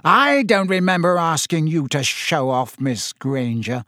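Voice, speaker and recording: A man voicing a cold, sneering male schoolteacher, drawing out his words slowly and scornfully. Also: on the high side, big pitch swings, bright, forceful tone, no room sound, clean studio sound, heavily acted. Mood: icy. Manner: slow, cutting, scornful delivery.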